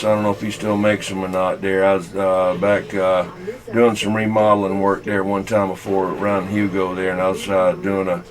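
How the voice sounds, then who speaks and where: A man with a Southern US accent telling folksy, halting, rambling stories in casual talk over CB radio. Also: lowish voice, low-quality recording. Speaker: CB radio operator.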